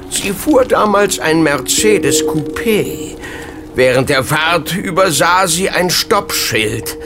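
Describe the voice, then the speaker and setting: Slow, expressive storytelling dad. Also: male voice, fairly high pitch. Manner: deliberate, dramatic explaining. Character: knowledgeable father, cluttered collector of mysteries. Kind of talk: German audio drama acting.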